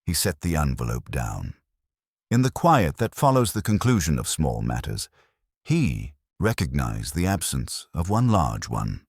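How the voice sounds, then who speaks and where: Male solo narrator for detective drama: bass-deep, husky, dryly witty and formal, expressive intonation. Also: no echo. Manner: measured, dry, wry, formal delivery. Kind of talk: scripted literary narration of a mystery drama.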